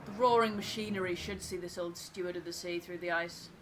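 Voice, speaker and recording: A woman reads as a thoughtful first mate keeping a log in a narrative game. The voice is slightly low, measured and wary, over clear background noise. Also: fairly even intonation, lightly acted. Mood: reflective, wary. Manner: measured, reflective, literary.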